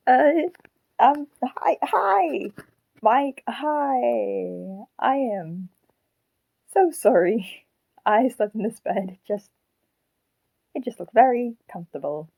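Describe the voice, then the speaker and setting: A woman voicing a shy, apologetic living puppet in an audio drama, speaking in a hesitant, gentle way. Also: fairly high pitch, gravelly, dry recording, exaggerated voice. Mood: embarrassed, apologetic.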